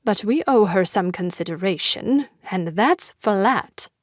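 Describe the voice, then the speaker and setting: An earnest storybook flatiron , voiced by a woman, pleads for peace. She speaks slowly and with measured care, in a mid-pitched, very dry voice. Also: no room sound, close to an everyday voice. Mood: earnest pleading. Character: earnest, conciliatory living flatiron.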